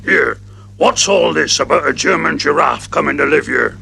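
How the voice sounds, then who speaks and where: A man voicing a gruff, blustering male gorilla who warns off newcomers. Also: on the high side, animated, normal speed, sharp tone, a little hiss or hum, full character voice.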